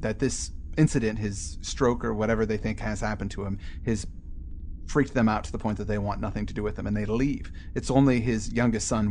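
A man's voice: fairly low pitch, darkish tone, slight background noise. A man co-hosting a podcast, casually discussing and summarizing a story. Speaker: podcast co-host.

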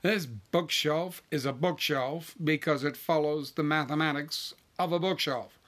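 A man's voice: fairly high pitch, measured pace, a bit bright. A man voicing a blunt, easily frustrated wife in a story, emphatic and insistent. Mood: forceful, insistent.

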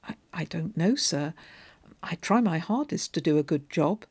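A woman's voice: on the low side, lively intonation, normal speed, a little mellow, husky. A woman doing a timid village weaver. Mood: nervous. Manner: timid, hesitant. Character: nervous humble woman weaver.

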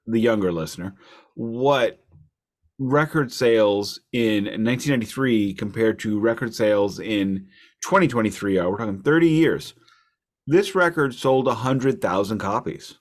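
A man with a mid-pitched voice talks as a podcast co-host in a relaxed conversation about records. He explains things like someone telling a story to a friend. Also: moderate pace. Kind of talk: casual album discussion.

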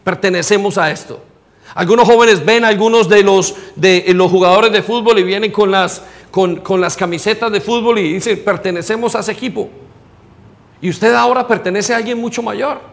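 Colombian male pastor, high-pitched, impassioned sermon delivery, reverberant hall sound. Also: normal speed, gravelly. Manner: preaching, rising to near shouts, direct questions to listeners.

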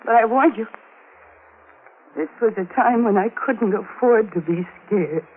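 An actress playing a frightened but resolute housewife in 1940s radio drama style, earnest and anxious. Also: female voice, a little high, unhurried, lightly acted. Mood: relieved, resolute. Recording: noisy, tinny old-time radio recording.

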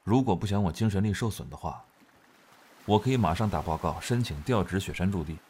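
A man's voice: sits low, animated, a little fast, darkish tone, breathy edge. A man playing a stern, reserved young Alpha general in a modern audio drama. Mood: stern, controlled.

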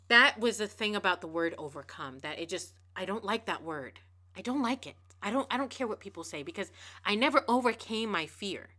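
Fast, emphatic woman with bright tone. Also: medium pitch. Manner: fast, repeats words, restarts phrases; emphatic, preachy. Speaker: podcast host. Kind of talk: casual motivational podcast monologue.

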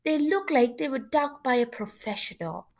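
A woman voicing a confident, curious female storybook sleuth in a congested, nasal voice, with a brisk, teasing manner. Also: high-pitched, lively intonation, a little mellow, pitched above the performer's own voice. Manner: brisk, self-assured, teasing.

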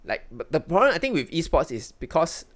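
A man's voice: a little high, very animated, brisk. A man, co-hosting a podcast in casual, teasing banter. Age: 30s. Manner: joking, teasing, laughs often. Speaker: podcast co-host.

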